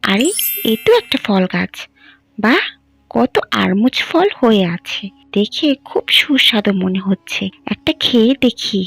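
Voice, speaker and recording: A lonely young village girl speaks plaintively in a cartoon, voiced by a woman. The voice is high, gravelly at the edges, and swings widely. Light music sits behind. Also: expressive, measured pace, no room sound, exaggerated voice.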